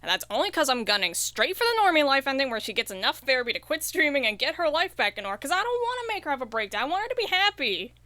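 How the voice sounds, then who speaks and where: Bright, high young woman, casual. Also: very animated, rapid-fire, few pauses, no echo. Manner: informal, candid, slangy. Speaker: podcast guest, indie game developer. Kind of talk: casual interview chat.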